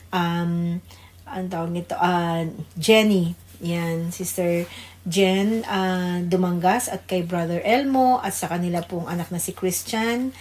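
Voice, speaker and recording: Low-pitched Filipino woman on devotional radio. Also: darkish tone. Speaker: radio devotional co-host, pastor's wife. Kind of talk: informal religious radio greetings and prayer.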